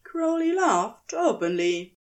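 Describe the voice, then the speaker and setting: A woman's voice: high voice, very animated, slow pace. A woman narrator reading scripted fiction, telling the story between lines of dialogue.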